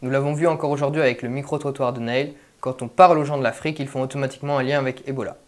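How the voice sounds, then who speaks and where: A man's voice: mid-range voice, steady tone, racing. Young man anchoring a news show. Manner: scripted, formal anchor delivery. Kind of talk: student news bulletin.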